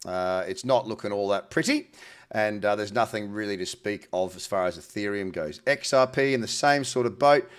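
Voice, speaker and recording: A man's voice: lowish voice, moderate pace, clear, resonant tone. A man hosts a casual market rundown in an informal, colloquial way. His voice is a little nasal from a head cold, and he talks like a trader chatting with mates. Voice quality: slightly nasal. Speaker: host, crypto trading analyst. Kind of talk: casual market commentary to camera.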